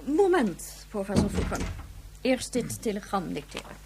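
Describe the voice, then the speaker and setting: A woman's voice: medium pitch, very animated, moderate pace, darkish tone, husky, exaggerated voice. An actress playing a stern female head of government in a radio drama, speaking in a formal, commanding way. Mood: stern, indignant. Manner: formal, commanding, clipped officialese.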